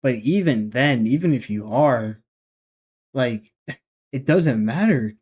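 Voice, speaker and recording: A young man in his early twenties reacts to a clip with casual, rambling commentary. His voice sits at an ordinary middle pitch. He often trails off and restarts his sentences as he thinks aloud. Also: lively intonation, takes it slowly, a little mellow, husky. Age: 20s. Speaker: reaction video host.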